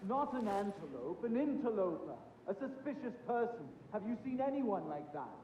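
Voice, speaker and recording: An actor playing a stiff, officious guard in a video game scene, formal and wearily patient, heard from a distance in a room. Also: male voice, sits high, big pitch swings, moderate pace, highs cut off. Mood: insistent. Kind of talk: video game cutscene acting. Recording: echoey, distant, noisy room.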